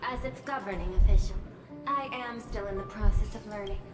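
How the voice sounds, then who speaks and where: A woman's voice: mid-range voice, fairly quick, natural voice. A woman playing a courteous young corporate executive and governor in a game, speaking formally and diplomatically. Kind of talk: scripted game dialogue. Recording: echoey, music over the voice.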